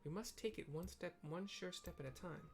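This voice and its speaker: An earnest woman leader from a fantasy game, acted out by a man, pitched high with a creaky edge. She speaks in formal, archaic phrases, dutiful and careful, over faint music. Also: moderate pace. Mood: solemn, resolute.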